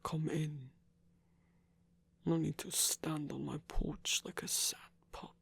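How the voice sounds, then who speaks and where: A man voicing a stern, protective guardian, measured and formal, in an ASMR story. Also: on the high side, little pitch movement, creaky, a bit bright, breathy edge, no echo, full character voice. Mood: softening, wry. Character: stern, protective male guardian of a young vampire. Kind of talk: ASMR roleplay acting.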